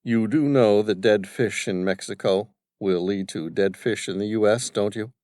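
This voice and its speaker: A man's voice: on the low side, moderate pace, darkish tone, no echo, close to an everyday voice. A man voicing a grown son who talks back to his father in a wry, blunt way, close to his own voice.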